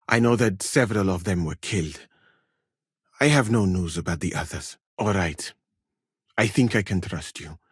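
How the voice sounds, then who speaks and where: Casting: courteous, learned traveler-physician for a game; lowish husky voice, formal careful wording, expressive swings in pitch. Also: male voice, dry recording, studio quality. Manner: measured, formal, careful phrasing. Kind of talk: video game character dialogue.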